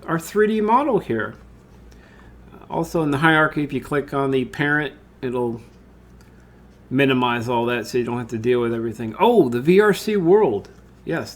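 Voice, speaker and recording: A man giving an informal screen-share software tutorial, talking through his steps and thinking aloud as he works. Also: medium pitch, vocal fry. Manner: casual, thinking aloud, addresses viewers as guys. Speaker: tutorial host.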